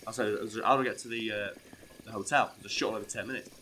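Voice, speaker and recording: A hoarse, ill man swearing freely as he plays a video game and tells a story. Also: fairly low pitch, steady tone, very fast, a little hiss or hum. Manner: swears freely, bursts into loud outbursts. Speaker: co-host playing a video game. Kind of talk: casual gaming chat with storytelling.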